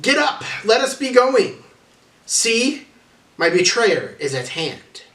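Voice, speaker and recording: A man playing an urgent, resolute Jesus in a dramatic scripture reading, with forceful, declamatory delivery. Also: very high voice, sing-song, takes it slowly, exaggerated voice. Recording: roomy echo, distant from the mic.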